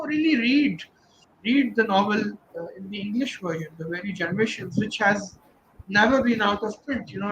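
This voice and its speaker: A man's voice: high voice, a bit bright, highs cut off, slight background noise. A man speaking as a guest on a video call, talking in a hesitant, rambling way with many fillers. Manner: hesitant, frequent "you know" and "uh". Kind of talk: conversational talk at a virtual event.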